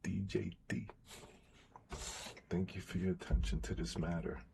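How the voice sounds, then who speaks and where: A young man with a very low baritone, talking to his live-stream chat in a blunt, profane, offhand way. His voice is strained and sore from recent throat surgery, and now and then it thins out to a whisper. A faint hum of noise sits under him. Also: flat delivery, a little slow, vocal fry.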